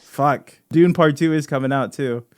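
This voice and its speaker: Male co-host, higher-pitched voice, casual comedic banter, close dry sound. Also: moderate pace, clean tone, no room sound. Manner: bantering, informal, swears casually. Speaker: podcast co-host. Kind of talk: casual comedic brainstorming chat.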